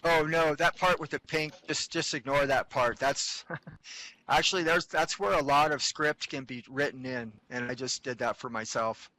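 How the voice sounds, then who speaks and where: Bright-voiced man organizing a reading. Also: mid-range voice, steady tone, moderate pace, poor audio. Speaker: organizer of a script reading group. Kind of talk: casual group chat.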